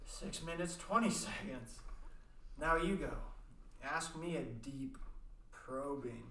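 Earnest, guarded teen lad male performer, unhurried, amateur radio drama, roomy and off-mic. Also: on the high side, expressive, bright, forceful tone, heavily acted. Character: earnest, guarded teenage boy-next-door. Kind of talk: amateur radio play acting. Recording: roomy, distant mic, faint noise.

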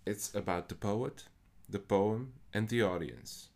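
A man with a Dutch accent, hosting a poetry podcast in a measured, scripted way. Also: fairly low pitch, vocal fry, darkish tone. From Netherlands. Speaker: podcast host. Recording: faint music behind the voice.

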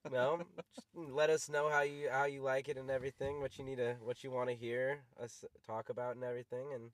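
A man's voice: mid-range voice, flat delivery, a little fast, dry recording. Crude swearing man, dry sound. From United States. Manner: crude, swears often, laughs a lot. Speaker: podcast co-host. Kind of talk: casual chat.

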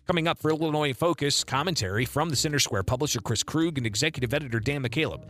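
A man reading news stories as the anchor, in a measured scripted delivery. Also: on the high side, a bit bright.

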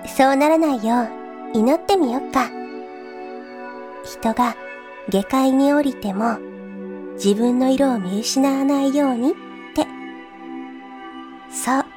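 A woman voicing a gentle, soothing older-sister girl who speaks in a tender, coaxing storytelling tone. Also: high voice, slow pace, long pauses. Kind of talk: voice drama. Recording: gentle new-age music behind. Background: new-age music.

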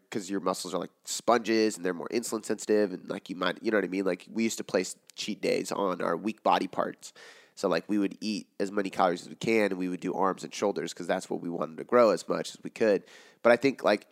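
A man with a raspy, worn-out voice, hosting a casual podcast Q&A as a fitness coach and explaining in a loose way, with lots of "like" and "um". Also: deep voice. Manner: loose, filler-heavy explaining with frequent "like" and "um". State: hoarse from talking all day.

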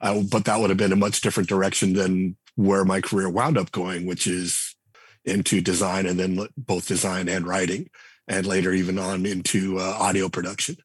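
A middle-aged man, a game writer and designer, talking casually in an interview and telling relaxed stories with frequent restarts. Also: sits low, normal speed, breathy edge, no room sound. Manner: relaxed storyteller, many restarts and fillers.

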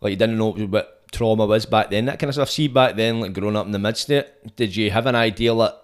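A Scottish man hosting an interview, chatty and matey, with a booming voice. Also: fairly quick. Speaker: podcast host. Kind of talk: casual interview chat. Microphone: dynamic studio mic.

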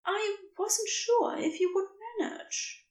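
A woman voicing a big, gentle dragon creature that fusses soft and warm over a hurt guest, in a podfic reading. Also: very high-pitched, steady tone, slow pace, reverberant, far from the mic, voice pushed up. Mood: fond. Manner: soft, fussing, warm and reassuring. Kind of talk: podfic character voice.

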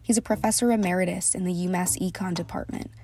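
A woman host reading a scripted podcast introduction in a measured way. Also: lowish voice. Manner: even, measured delivery. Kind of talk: scripted narration.

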